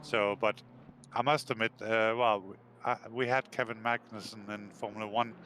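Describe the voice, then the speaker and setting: A man's voice: lowish voice, little pitch movement, moderate pace, fairly bright tone, a little hiss or hum. Danish man, hesitant commentator. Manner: hesitant, many fillers and restarts. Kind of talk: live sim racing commentary.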